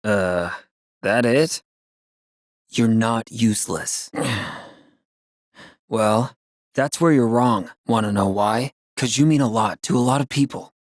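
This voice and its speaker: A man's voice: mid-range voice, takes it slowly, long pauses, no room sound, clean studio sound, natural voice. A man playing a brave, cocky young soldier hero in a video game, casual and earnest, loyal and defiant. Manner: casual, wisecracking, earnest, expressive.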